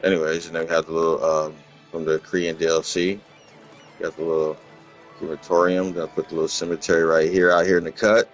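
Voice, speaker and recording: Super deep voiced dude narrating his game, laid back and rambly, faint music behind. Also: quick pace. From Los Angeles, USA. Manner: relaxed, rambling, repeats words, chuckles. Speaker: gaming channel host. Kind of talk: casual gameplay walkthrough commentary.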